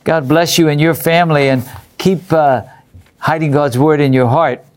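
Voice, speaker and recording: High-voiced male religious program host. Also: fairly even intonation, moderate pace. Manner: addresses group and viewers directly with questions. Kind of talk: warm-up talk to a group, reading viewer letters aloud.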